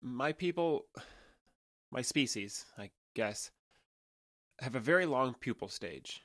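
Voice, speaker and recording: An alien who passes for a young American man in an audio drama. He is earnest and guarded, choosing his words with some hesitation and explaining things matter-of-factly. His middle-pitched voice sits in a very dry recording. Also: animated, slow pace, fairly bright tone, no echo. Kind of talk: audio drama acting, naturalistic dialogue.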